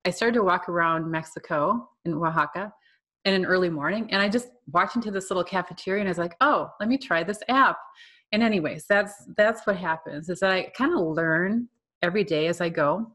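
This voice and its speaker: A woman's voice: lowish voice, a little fast, fairly bright tone. A woman giving a casual, chatty slide presentation to an online art class as the artist who teaches it. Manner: informal, chatty, conversational, with frequent asides.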